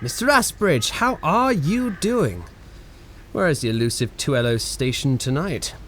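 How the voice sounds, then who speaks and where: An actor as a rambling, jokey radio announcer, higher-voiced man. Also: big pitch swings, measured pace, fairly bright tone, a little hiss or hum. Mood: fond, teasing. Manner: stammering, digressive, cheeky. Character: jokey, rambling, self-mocking 1920s radio station announcer. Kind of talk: radio play, improvised-sounding comic broadcast patter.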